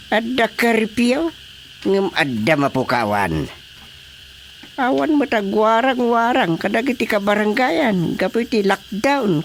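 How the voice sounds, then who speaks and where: A man playing an excitable, boastful older village chairman in a comic radio drama, with exclamatory delivery. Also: sits high, gravelly, a little hiss or hum, heavily acted. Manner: exclamatory, animated.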